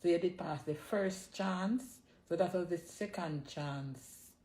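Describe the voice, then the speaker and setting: A woman's voice: fairly low pitch, slow, mellow. A middle-aged woman with a Jamaican accent, reminiscing casually about family life and repeating her words emphatically to hold the floor. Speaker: mother of the host, joining the story.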